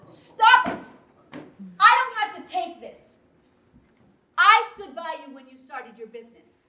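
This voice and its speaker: An actress as a high-pitched, bitter, weary wife. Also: female voice, expressive, measured pace, heavily acted. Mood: furious. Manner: emotional, swinging from quiet brooding to outbursts. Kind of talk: naturalistic relationship drama acting. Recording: roomy echo, audible background noise.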